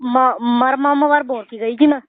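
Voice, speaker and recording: A woman's voice: fairly even intonation, normal speed, no room sound. A woman arguing insistently in an informal phone conversation, often repeating herself. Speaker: daughter-in-law on a family phone call. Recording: muffled low-fidelity phone call recording.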